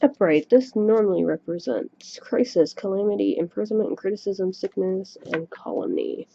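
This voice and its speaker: A soft-spoken woman giving a tarot reading to camera at home, chatty and a little self-conscious, recorded on a webcam microphone. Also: medium pitch, normal speed, creaky, slight background noise. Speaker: tarot reader. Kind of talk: casual solo reading to camera. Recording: dull lo-fi webcam mic recording.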